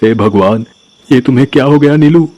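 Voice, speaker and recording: A man's voice: mid-range voice, moderate pace, mellow, muffled recording, slight background noise, natural voice. Man acting an anxious, melodramatic village husband. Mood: shocked. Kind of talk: animated Hindi storybook acting. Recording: music behind the voice.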